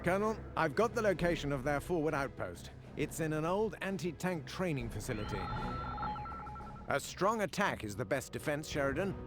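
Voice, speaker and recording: A man's voice: a little high, natural voice. An actor playing a genteel British army officer who briefs his colonel in a video game, proper and drily understated. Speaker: military adviser in a war game. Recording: loud music under the voice. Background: loud game music.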